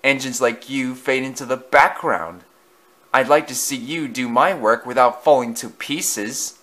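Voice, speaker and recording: A man's voice: mid-range voice, measured pace, fairly bright tone, natural voice. A man voicing a pompous, boastful big male steam engine who talks in a grand, haughty way.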